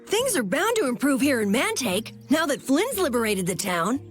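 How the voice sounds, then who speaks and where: Plucky young game heroine, high and animated. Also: female voice, normal speed, soft background music. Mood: matter-of-fact. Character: brisk, plucky young woman adventurer, quick to scold. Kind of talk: video game cutscene voice acting.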